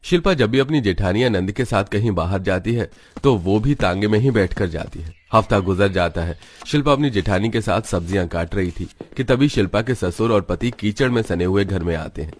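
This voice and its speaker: A man's voice: on the low side, expressive, brisk. A man reading scripted narration for a cartoon story.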